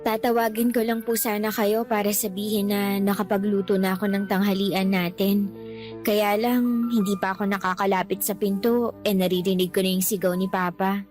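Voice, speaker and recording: An actress playing an outspoken young adult daughter who answers back reproachfully in a radio drama. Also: female voice, medium pitch, flat delivery, moderate pace, a little mellow, faint music behind, close to an everyday voice. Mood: concerned, reproving. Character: outspoken, defiant grown daughter defending her mother. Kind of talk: Tagalog radio drama acting.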